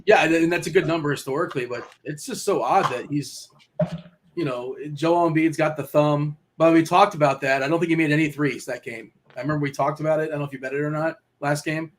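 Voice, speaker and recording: A man co-hosting a live sports betting stream, chatty and rambling, quick to laugh. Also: on the high side, fairly even intonation. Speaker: betting show co-host. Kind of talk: casual live-stream chat.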